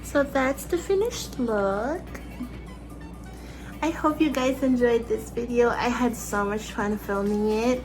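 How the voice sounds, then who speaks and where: A woman's voice: fairly high pitch, takes it slowly, over music, rough recording. A young woman with a Filipino accent, chatting through a makeup tutorial in her bedroom, bubbly and talking as she applies makeup. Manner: chatty, bubbly, talks while applying makeup. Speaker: makeup vlogger. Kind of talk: casual makeup tutorial narration. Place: small bedroom with fairy lights.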